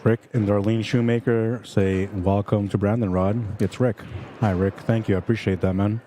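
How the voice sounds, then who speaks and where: A man with a very deep, warm and soothing voice commentates a pool live stream. He stays calm and easygoing, chatting with viewers and reading their comments aloud. A faint hum of the venue sits underneath. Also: normal speed. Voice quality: soothing, relaxing voice. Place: pool tournament venue, indoors.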